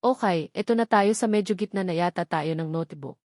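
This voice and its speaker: A woman with a Filipino accent voicing a gentle, guarded young man secretly in love in an audio drama. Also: lowish voice, a little fast, no echo, studio quality, close to an everyday voice. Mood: gentle, casual.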